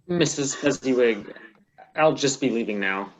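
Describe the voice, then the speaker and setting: A man's voice: medium pitch, animated, unhurried, slight background noise, close to an everyday voice. A man playing a timid, polite, poor young man with a deferential manner in an amateur radio play. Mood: sheepish.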